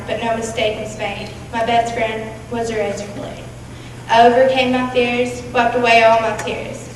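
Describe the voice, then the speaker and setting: A young woman recites verse with a slightly high, monotone delivery. She sounds far from the microphone in a very echoey hall with noticeable noise. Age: teenager or young adult. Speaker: student performer. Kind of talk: rhymed monologue, recited.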